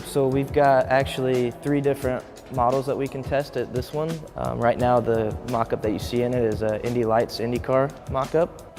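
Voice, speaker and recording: A man giving a casual technical explanation as a facility guide, halting and repeating words. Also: medium pitch. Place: simulator room in a racing facility. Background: music.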